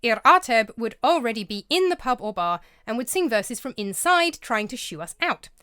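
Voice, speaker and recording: Female vlog narrator, scripted and scholarly, bright lilting delivery, bone-dry studio read. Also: fairly high pitch, very animated, moderate pace, smooth, dry recording. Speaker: vlogger and narrator. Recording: dry close-mic voice-over.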